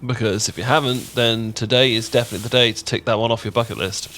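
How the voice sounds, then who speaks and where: A man's voice: mid-range voice, fairly even intonation, brisk, fairly bright tone, slight background noise, lightly acted. A man with an English accent acting a bashful, affectionate young roommate in an intimate ASMR roleplay, chatty and stammering when flustered. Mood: playful, cheerful. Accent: British, English. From England. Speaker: audio roleplay voice actor.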